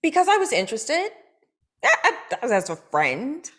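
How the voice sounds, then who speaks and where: A woman's voice: high voice, wildly swooping pitch, measured pace, fairly bright tone, heavily acted. An actress at a table read playing an awkward, hesitant young woman who writes scripts.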